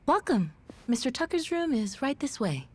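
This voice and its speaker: A woman's voice: high voice, very animated, moderate pace, a little mellow, breathy edge, heavily acted. A woman playing a polite, professional attendant with a courteous, formal way of speaking.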